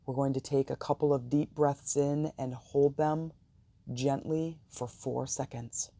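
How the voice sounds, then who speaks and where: Slow, very dark gravelly guided meditation voice. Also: mid-range voice, no echo. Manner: slow, calm, soothing, deliberate pauses.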